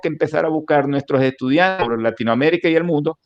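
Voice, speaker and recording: A man's voice: fairly high pitch, fast. A Venezuelan man speaking Spanish as a guest in a conversational online interview, emphatic and repeating key phrases. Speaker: interview guest, mathematics educator. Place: remote online call from Colombia. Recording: slightly dull internet call audio.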